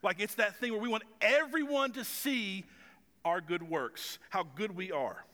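A man's voice: sits high, measured pace, sharp tone, in a large hall. A man of about forty preaching a sermon as pastor in a conversational, self-deprecating way, with some stumbles and fillers. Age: 40s. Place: church stage with long reverb.

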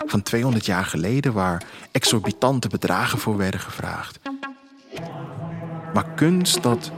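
Guy narrating an audio drama, reflective, pauses a lot, mid pitch voice, music under it. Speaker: writer narrating his own audio story. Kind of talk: scripted first-person narration, audio drama. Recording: music behind the voice.

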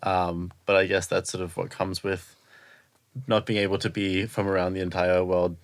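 A man, an illustrator and guest on a podcast, talking casually in a halting way with many restarts. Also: deep voice, flat delivery, no echo. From Australia. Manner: halting, repeats words as he restarts. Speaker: illustrator and product designer, guest. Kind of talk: casual interview conversation.

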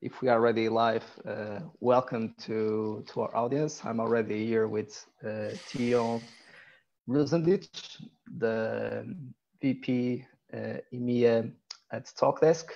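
A man hosting a live video podcast over a video call, introducing his guest with a halting, hesitant delivery. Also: mid-range voice, fairly even intonation, vocal fry, a little mellow, highs cut off, a little hiss or hum. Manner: halting, many ums and restarts. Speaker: podcast host.

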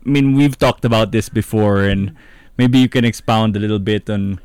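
A man's voice: lowish voice, fairly even intonation, moderate pace, darkish tone, clear, resonant tone, no echo. A man hosting a casual podcast interview, asking curious questions full of fillers and restarts. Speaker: podcast host.

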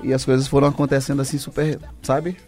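A man's voice: mid-range voice, level tone, fast talker, darkish tone. A man with a Brazilian accent chatting effusively and in a rambling way as a singer-songwriter guest in a radio interview. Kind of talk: casual radio interview chat. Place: radio studio. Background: music.

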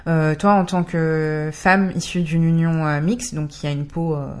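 A woman's voice: low-pitched, level tone, unhurried. A woman co-hosting a casual conversation, asking thoughtful questions with some fillers.

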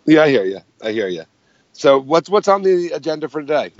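A hoarse man appearing as a guest, explaining his views in an analytical way. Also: on the high side, sing-song, brisk, dry recording, lo-fi sound. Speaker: podcast guest, political commentator. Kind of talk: casual interview chat.

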